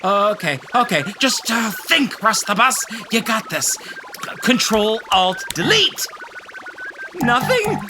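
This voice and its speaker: Hapless young nerd guy, melodramatic, huge pitch swings, music playing clearly behind. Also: very animated, slow pace. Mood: panicked. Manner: melodramatic, stammering. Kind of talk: audio play comedy acting.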